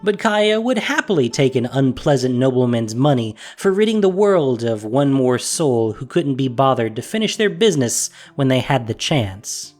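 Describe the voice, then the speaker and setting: A narrator reading a fantasy story in a measured, wry manner. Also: medium pitch, big pitch swings, a little mellow, faint music behind.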